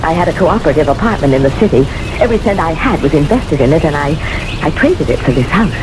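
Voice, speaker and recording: Flustered, expressive old lady. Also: low-pitched, fairly quick, music in the background. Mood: polite, hesitant. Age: older. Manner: hesitant, polite, easily flustered. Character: timid, flustered old lady living alone. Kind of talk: old-time radio drama acting. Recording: noisy, hissy old radio recording.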